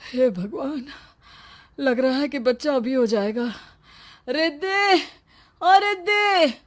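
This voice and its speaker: A woman's voice: high voice, takes it slowly. A woman voicing an anxious, whiny pregnant young husband in a comic story, complaining dramatically. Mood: panicked, pained. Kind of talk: acted dialogue in a Hindi comic story.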